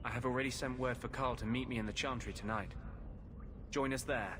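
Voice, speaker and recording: A man's voice: medium pitch, fairly even intonation, fairly quick, background noise, close to an everyday voice. A man playing a bitter, protective runaway mage healer in a video game cutscene, earnest and dramatic with a dry humor. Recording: game music behind the voice.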